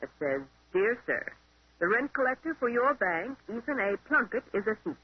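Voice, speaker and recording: An actress playing a bright, inquisitive young secretary in a 1930s radio mystery. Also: female voice, fairly high pitch, very animated, moderate pace, slightly rough, no echo. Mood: curious. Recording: muffled 1930s radio recording.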